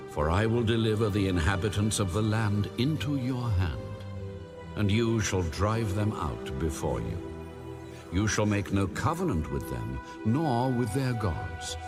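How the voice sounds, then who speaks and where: A man narrating scripture in a solemn, measured, authoritative way, like an audio Bible. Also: on the low side. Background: background music.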